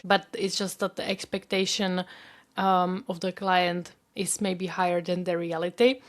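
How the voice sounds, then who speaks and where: A woman with a Czech accent hosts her own podcast, talking earnestly and directly to her listeners. Her voice sits at a middle pitch. She is a young adult and speaks English as a second language. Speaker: podcast host and career coach. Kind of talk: solo podcast talk.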